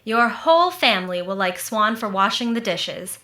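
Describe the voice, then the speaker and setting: Bright, animated radio-comedy friend, acted by a woman. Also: mid-range voice, normal speed. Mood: emphatic selling. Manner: confident, emphatic, cheerfully insistent. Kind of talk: re-enacted 1940s radio comedy and advert acting. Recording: roomy, echoing voice recording.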